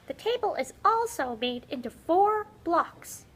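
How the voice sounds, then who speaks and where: Woman voicing a very high-pitched, haughty old puppet teacher. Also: very animated, a little slow, exaggerated voice. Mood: lecturing, haughty. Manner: haughty, exasperated, scolding, lecturing. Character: grumpy, conceited old talking periodic table puppet, impatient teacher. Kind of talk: educational puppet show skit.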